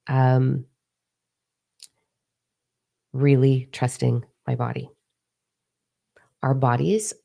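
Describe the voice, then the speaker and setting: Hoarse woman, candid and chatty. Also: extremely low pitch, warm tone. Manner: candid, swears freely, chatty and confiding. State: hoarse, partly lost voice. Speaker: spiritual teacher. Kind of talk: casual spiritual talk to followers.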